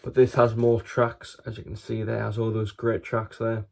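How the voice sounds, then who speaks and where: A man's voice: fairly low pitch, level tone, normal speed, vocal fry, darkish tone. A man with a British accent casually presenting a collection as a video host, in a plain, matter-of-fact way. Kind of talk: casual show-and-tell.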